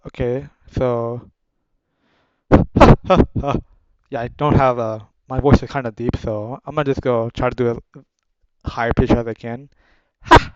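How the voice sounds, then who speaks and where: A man casually reading a visual novel aloud, chatting and correcting himself as he goes. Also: medium pitch. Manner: self-correcting, chatty asides. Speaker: gaming video creator. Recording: dull sound with guitar music.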